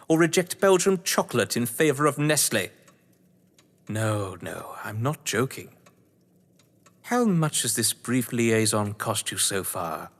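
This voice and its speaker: A man's voice: mid-range voice, a bit bright, big-room sound. A man playing a suave, scheming, condescending English crime novelist in a theatrical audio drama, with wry, florid delivery. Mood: mocking concern. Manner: pompous, wry, florid phrasing.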